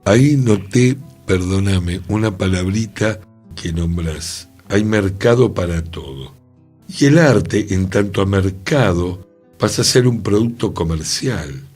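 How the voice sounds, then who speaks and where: Man, rioplatense spanish accent, husky voice, slow lively delivery, radio studio. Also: low voice, faint music behind. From Argentina. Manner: thoughtful, questioning, emphatic. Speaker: radio show co-host. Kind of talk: reflective radio conversation.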